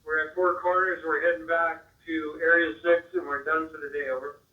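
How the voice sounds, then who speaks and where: A man's voice: fairly high pitch, little pitch movement, normal speed. Tinny, distant man on two-way radio. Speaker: field worker calling in by radio. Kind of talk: procedural radio communication. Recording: narrow-band, distant, echoey radio transmission.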